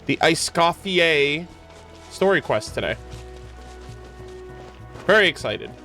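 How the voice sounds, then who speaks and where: A man's voice: on the high side, lively intonation, slow. Rambling male streamer over music. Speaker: game streamer. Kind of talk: casual livestream chat. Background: background music.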